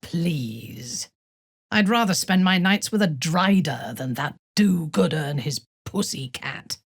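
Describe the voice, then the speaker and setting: A woman playing a haughty, ruthless dark elf warrior in a fantasy video game, speaking in a measured, imperious, formal way with a breathy, husky voice. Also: deep voice, lively intonation, no room sound, clean studio sound, heavily acted. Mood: disdainful, wry. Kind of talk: scripted video game character lines.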